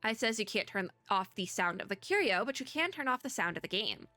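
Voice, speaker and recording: A woman's voice: medium pitch, expressive, a little fast, sharp tone, no room sound, faint music behind. A young woman VTuber giving casual, rambling gaming commentary, sniffly and losing her voice. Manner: chatty, rambling, giggly. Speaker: VTuber streamer.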